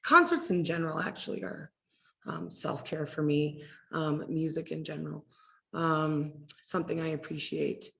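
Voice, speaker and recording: A woman speaking as an advocate on a community panel, relaxed and conversational with frequent fillers. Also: low voice, moderate pace, creaky, a little hiss or hum. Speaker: domestic violence advocate. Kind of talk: panel discussion.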